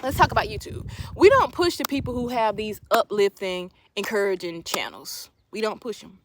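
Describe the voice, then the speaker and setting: A woman's voice: medium pitch, moderate pace, slight background noise. A woman in her late thirties talking casually to her viewers, sharing opinions emphatically and repeating phrases for emphasis. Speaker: livestream host.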